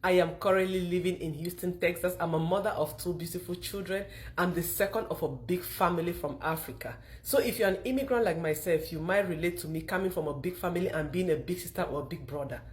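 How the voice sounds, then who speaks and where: A middle-aged woman with a Cameroonian accent gives a motivational testimonial pitch, speaking emphatically with repeated phrases. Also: fast, few pauses, a little hiss or hum. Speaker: online marketer telling her own story.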